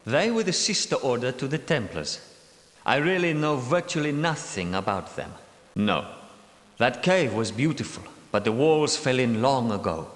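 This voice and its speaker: Wily knight in an echoing hall. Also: male voice, medium pitch, big pitch swings, fairly bright tone. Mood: grave, wary. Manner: formal, archaic, measured diction. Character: cunning male Grail knight, outwardly timid and nervous, secretly scheming. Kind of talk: theatrical video game character dialogue. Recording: echoey hall with long reverb.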